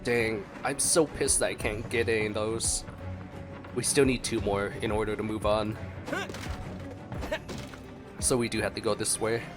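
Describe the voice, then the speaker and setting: A man's voice: on the low side, flat delivery, measured pace, long pauses. A man giving casual gameplay commentary as a streamer, slightly under the weather. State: mildly sick. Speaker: game streamer. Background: game music.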